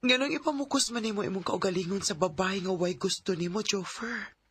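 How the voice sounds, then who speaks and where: A man's voice: high voice, a little fast, a bit bright, slightly rough. A man playing a tender, earnest young woman in love in a sentimental radio drama, with a Bisaya Filipino accent. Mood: concerned, pleading. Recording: music under the voice.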